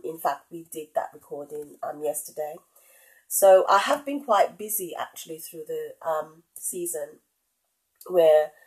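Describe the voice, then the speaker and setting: A middle-aged woman hosting her own podcast, talking casually with a head cold and many pauses and ums. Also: sits low, a little mellow, poor audio. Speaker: podcast host. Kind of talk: casual solo podcast talk.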